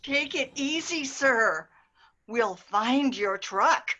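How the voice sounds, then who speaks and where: A woman playing a brisk desk officer, bright. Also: sits high, animated, heavily acted. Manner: clipped, businesslike questions. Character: brisk police officer taking a report. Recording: dull lo-fi video call audio.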